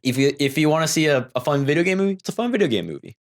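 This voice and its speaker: A man's voice: on the high side, sing-song, very fast. A man on a podcast panel, chatting casually about films in a slangy, rambling way. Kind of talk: casual film discussion chat.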